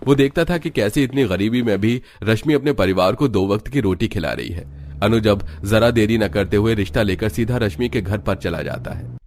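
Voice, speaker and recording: A man's voice: lowish voice, lively intonation, normal speed, darkish tone, slight background noise. A man narrating a scripted story. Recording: music bed under voice. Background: background music.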